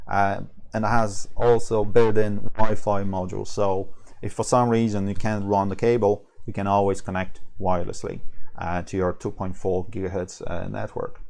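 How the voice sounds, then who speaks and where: A man who speaks English as a second language presents slides informally as a product trainer, haltingly, often repeating and rephrasing himself. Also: low-pitched, darkish tone. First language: not English.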